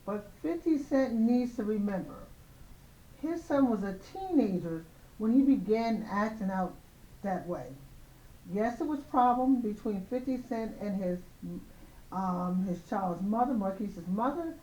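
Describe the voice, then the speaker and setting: Female commentary host, soft-edged mellow tone with slight hoarseness, casual opinionated delivery, roomy echoing sound. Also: mid-range voice, lively intonation, measured pace, highs cut off.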